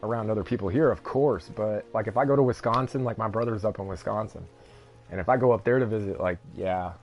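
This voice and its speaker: A man's voice: fairly low pitch, quick pace, lo-fi sound, soft background music. A young man with a Texan Southern accent, chatting casually and rambling while he streams a game, laughing often. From Texas. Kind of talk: casual live-stream chat while gaming.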